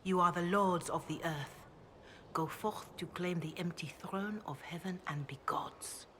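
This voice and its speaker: An actress voicing a devout, grave priestess in a video game, speaking in a measured, reverent way. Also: female voice, on the low side, a bit bright, slight background noise. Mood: grave reciting. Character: devout, grave chantry priestess, calm and counselling. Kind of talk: video game dialogue, scripture recitation.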